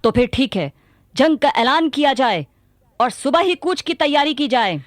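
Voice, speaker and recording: A woman's voice: high voice, big pitch swings, moderate pace, dry recording, studio quality. An actress playing a proud, determined warrior queen in a radio drama, with a regal, resolute delivery. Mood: resolute, commanding. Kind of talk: Hindi radio drama acting. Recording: noisy radio drama with battle ambience.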